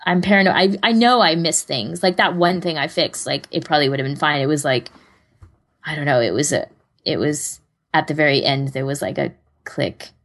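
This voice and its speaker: A woman with a low-pitched voice talks casually as a podcast co-host. She rambles along conversationally and pokes fun at herself as she goes. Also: brisk, a bit bright. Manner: rambling, self-deprecating.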